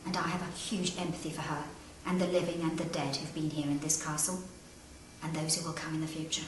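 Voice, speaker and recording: Dramatic low-voiced woman in an echoey hall. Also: flat delivery. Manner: dramatic, deliberate, formal. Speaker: castle guide and historical interpreter. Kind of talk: storytelling to camera. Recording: echoey great hall, distant mic, background noise.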